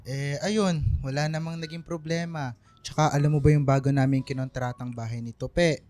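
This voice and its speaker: A man's voice: fairly high pitch, fairly even intonation, moderate pace, darkish tone, lightly acted. A man playing a cheerful, doting working-class father in a radio drama, affectionate, joking and a little wistful. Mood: upbeat.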